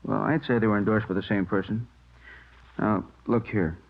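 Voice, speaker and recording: An American man playing a methodical police handwriting expert, explaining haltingly and checking that he is followed, in 1950s radio drama style. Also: lowish voice, fairly even intonation, vocal fry. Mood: absorbed, matter-of-fact. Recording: tinny old radio recording.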